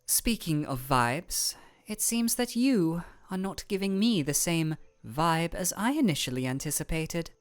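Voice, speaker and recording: A woman playing an aloof, regal ice elemental royal in a scripted fantasy audio roleplay, speaking in a formal, measured, deadpan way. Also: fairly low pitch. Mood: wry, composed.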